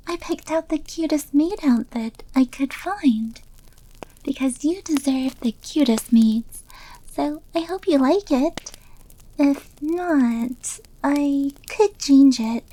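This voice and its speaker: A woman's voice: high voice, dark tone, poor audio. A woman acting as a sweet, submissive, obsessively devoted young maid girlfriend in an ASMR roleplay, coy and eager to please.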